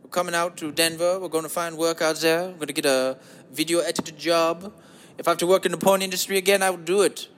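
Man putting on a high, campy German guy. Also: fairly even intonation, fast talker, a bit bright, a little hiss or hum, lightly acted. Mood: flirty, eager. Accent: exaggerated German.

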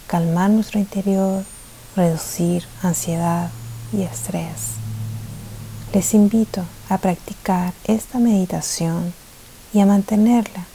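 A woman leading a guided meditation, soft-spoken and soothing, with gentle pauses and a tendency to drift toward a breathy near-whisper. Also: on the low side, rough recording. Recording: faint hiss behind the voice.

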